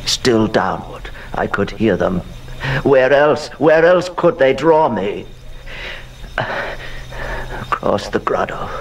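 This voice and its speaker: An actor playing a haunted, unhinged gentleman narrator in a horror audio drama, with a slow, theatrical, tormented delivery. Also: fairly low pitch, creaky, slight background noise, exaggerated voice, rough recording. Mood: haunted, hushed.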